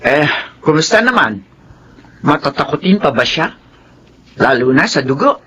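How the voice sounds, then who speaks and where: A man's voice: fairly high pitch, very animated, normal speed, bright, forceful tone, slight background noise. A man playing a meddling, demanding grandparent in a Filipino radio drama. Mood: nagging.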